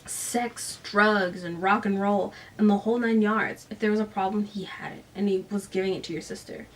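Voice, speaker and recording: A guarded, defensive father speaks in a hushed, subdued voice, as a woman reads his part. The voice sits a little back from the microphone. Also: medium pitch, steady tone, normal speed, recorded from a distance, natural voice, low-quality recording.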